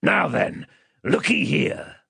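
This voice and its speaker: A man voicing a menacing, rough convict in a storybook, with threatening, coarse rustic speech. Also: a little high, expressive, slow pace, sharp tone, no room sound, pitched above the performer's own voice, exaggerated voice. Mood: barking, demanding.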